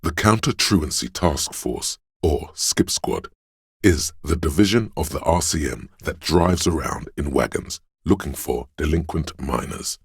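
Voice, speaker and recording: A man voicing a learned inner voice in a video game, reciting obscure facts in a measured, lecturing, gravelly way. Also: extremely low pitch, animated, no echo, clean studio sound, exaggerated voice. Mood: measured, erudite. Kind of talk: video game scripted narration.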